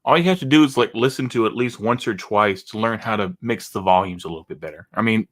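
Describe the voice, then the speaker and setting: A man's voice: fairly low pitch, fast talker, fairly bright tone. A man hosting a reaction video, talking in a rambling, mocking way with many restarts and a Texan accent. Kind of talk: casual commentary reacting to a video.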